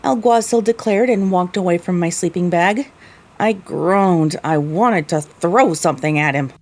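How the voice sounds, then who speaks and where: A woman's voice: lowish voice, a little slow, a little mellow. A woman narrating a scripted first-person story.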